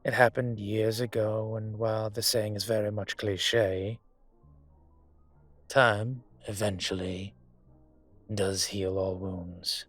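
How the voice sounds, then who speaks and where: Man acting a slow, husky, suave mafia boss. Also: lowish voice, level tone, a bit bright, soft background music. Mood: gentle, earnest. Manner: smooth-talking, deliberate, teasing. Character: suave teasing male dragon mafia boss on a date. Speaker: voice actor in audio roleplay. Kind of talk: intimate scripted roleplay audio acting.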